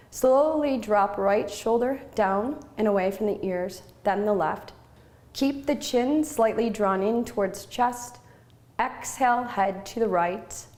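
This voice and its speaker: A woman yoga instructor leading a guided relaxation in short, measured, soothing phrases with pauses between them. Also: medium pitch, darkish tone.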